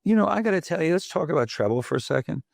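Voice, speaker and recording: Gravelly New man hosting. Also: on the high side, racing, no room sound, clean studio sound. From the Bronx, New York. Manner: animated, chatty, many fillers and restarts. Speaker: podcast host. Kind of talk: conversational interview.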